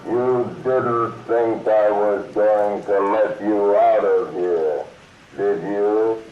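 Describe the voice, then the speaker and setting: A slow, echoing, menacing wooden giant. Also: male voice, fairly low pitch, fairly even intonation, muffled recording. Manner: slow, drawn-out, menacing delivery. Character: huge wooden funhouse giant, menacing, seemingly come alive. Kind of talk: 1940s-50s radio suspense acting. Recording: echoing, distant, noisy old radio recording.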